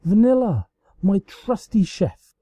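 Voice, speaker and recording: Man putting on a high, swooping, theatrical pony princess. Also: very animated, takes it slowly, mellow, breathy edge, no echo, exaggerated voice. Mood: mock-heroic drama. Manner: melodramatic, exclamatory, grandiose. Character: playful, theatrical pony princess mock-heroically defying her attacker.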